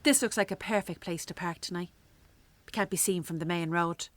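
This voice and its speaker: A woman with an Irish accent playing a weary, sharp-tongued working-class mother in a radio drama, wry and blunt. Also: lowish voice, brisk, no room sound, natural voice. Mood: practical. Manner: wry, blunt, earthy talk. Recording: clearly noisy, traffic and ambience behind.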